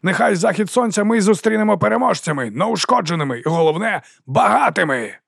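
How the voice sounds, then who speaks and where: A man's voice: high-pitched, animated, a little fast, gravelly, a bit bright, no room sound, clean studio sound, pitched above the performer's own voice, exaggerated voice. A man voicing a flamboyant, roguish mercenary captain in an audiobook, theatrical and self-mocking as he rallies his troops in a grandiose oration. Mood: rousing, sly. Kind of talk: audiobook character acting.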